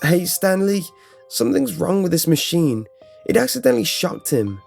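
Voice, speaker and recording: Man voicing an anxious boy, raised pitch. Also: very animated, moderate pace, creaky, soft background music, exaggerated voice. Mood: protesting. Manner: earnest, anxious, calling out. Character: narrator's boy friend, concerned fellow player. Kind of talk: horror audiobook character dialogue.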